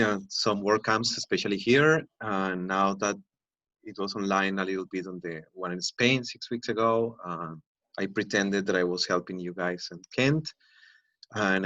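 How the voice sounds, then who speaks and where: A man's voice: on the low side, fairly bright tone, dry recording. A man from Colombia introducing himself casually on a video call, talking in short bursts with fillers. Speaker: meetup participant, WordCamp volunteer. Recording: dull, dry lo-fi call audio.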